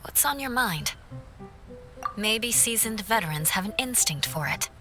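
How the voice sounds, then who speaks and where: A woman's voice: mid-range voice, expressive, unhurried, over music, natural voice. Game role, female actor: seasoned lady knight, mid-range voice, frosty composure, formal stern phrasing. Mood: cool, thoughtful. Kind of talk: scripted game voice acting.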